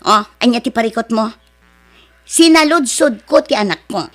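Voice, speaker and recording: A woman's voice: on the high side, animated, normal speed, a bit bright, soft background music. A middle-aged woman with an Ilocano accent acting a proud, scornful rich mother in an audio drama. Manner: haughty, scornful, dismissive.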